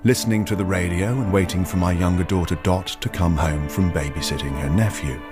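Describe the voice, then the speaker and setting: An actor playing an omniscient, brooding storyteller narrating a radio drama in a deliberate, portentous manner. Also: male voice, sits low, music in the background, lightly acted. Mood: ominous. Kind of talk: scripted first-person radio drama narration.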